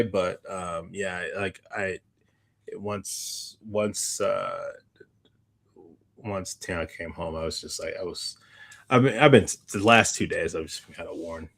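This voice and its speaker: A man co-hosting a podcast in casual chat, with a halting, meandering, slangy way of talking. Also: low-pitched. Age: adult, parent of young child. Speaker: podcast co-host.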